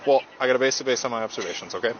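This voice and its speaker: A police officer speaking calmly and patiently through an arrest, reading forms word for word, recorded on his body camera. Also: medium pitch, very fast. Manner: calm, patient, reads forms verbatim. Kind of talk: procedural arrest talk. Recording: muffled bodycam audio with loud noise.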